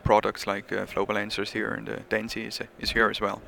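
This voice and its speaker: A man's voice: fairly low pitch, completely flat delivery, quick pace, vocal fry, bright, forceful tone. A man with a Swiss accent, not a native English speaker, being interviewed about his product at a trade show and talking in a hesitant way, with many fillers and restarts. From Switzerland. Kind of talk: casual interview.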